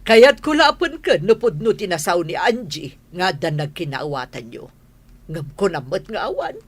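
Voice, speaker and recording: Give the part of a proud, domineering mother to a husky Filipino-accented voice. Her pitch moves widely as she scolds and lays down the law. It is stern, melodramatic radio drama. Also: big pitch swings, normal speed. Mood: stern, warning. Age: middle-aged. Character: stern, class-proud mother, madam of the house.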